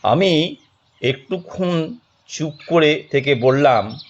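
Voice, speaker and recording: A man reads a dramatic story as its narrator. His delivery is slow and measured, with lively, expressive intonation. The recording is a little dull, with faint noise behind. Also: on the high side.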